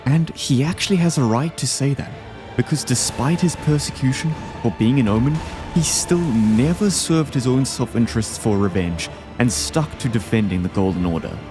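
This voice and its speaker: A man's voice: mid-range voice, normal speed. A man giving enthusiastic, scripted video essay commentary, ranking game bosses. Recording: game music behind the voice. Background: music.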